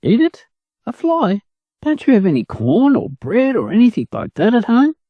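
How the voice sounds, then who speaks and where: A scruffy young farmyard chicken, acted out by a man in a storybook. He is bold and rough-spoken, with a lively, jumping pitch and a close, dry sound. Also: moderate pace, dry recording, phone-quality sound, full character voice. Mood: incredulous, boastful. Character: scruffy bold half-grown male farmyard chicken, brash.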